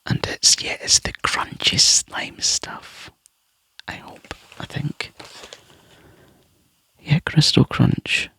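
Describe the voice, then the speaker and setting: A Scottish man chatting to viewers in a soft, rambling ASMR whisper, close to the mic. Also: extremely slow, pauses often, no echo. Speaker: ASMR YouTuber.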